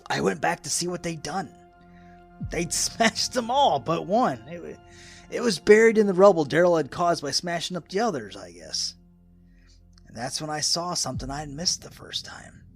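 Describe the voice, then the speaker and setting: An actor playing a folksy, rambling old American hermit in a radio drama, with a gravelly, raspy voice and an excitable rustic manner. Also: male voice, fairly high pitch, moderate pace, soft background music, exaggerated voice. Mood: reflective. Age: older.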